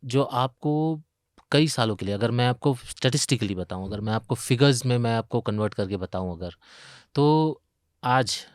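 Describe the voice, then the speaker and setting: A man's voice: medium pitch, expressive, normal speed, dry recording, clean studio sound. A man with an Indian accent explaining as an expert in an interview, posing questions and working through examples. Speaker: retirement expert, pension fund investment chief.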